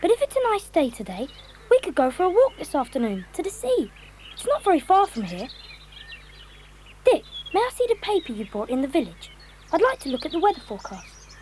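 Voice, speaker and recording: A woman playing a sensible, cheerful schoolboy in a children's audio drama, brisk and reassuring. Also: sits high, wildly swooping pitch, darkish tone, a little hiss or hum.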